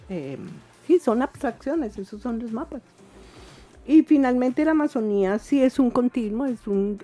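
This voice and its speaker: A woman's voice: mid-range voice, dark tone. A woman, an institute director and guest in a radio interview, who explains things thoughtfully.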